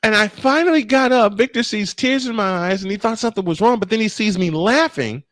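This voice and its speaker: A man with a fairly high voice delivers live baseball commentary. His pitch swings widely as he moves between calls and emphatic, drawn-out storytelling. The sound is close and dry. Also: no echo. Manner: animated, emphatic storyteller with drawn-out dramatic phrasing. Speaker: play-by-play sportscaster.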